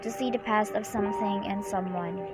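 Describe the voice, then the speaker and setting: A woman's voice: medium pitch, fairly even intonation, normal speed, recorded from a distance, music in the background, natural voice. A woman playing a guarded, curious girl. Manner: defensive, firm. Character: guarded, curious girl who sees the past.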